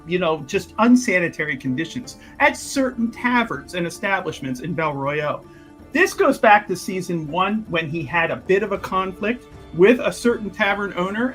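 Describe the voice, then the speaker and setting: A man's voice: on the high side, pushed and bright. A man playing a tabletop game, casually recapping what his character has been up to, often stopping to search for words and restarting.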